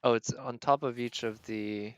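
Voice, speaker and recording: Male game streamer, medium pitch, casual live play commentary, murmured thinking-aloud delivery. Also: steady tone, moderate pace, clean tone, no room sound.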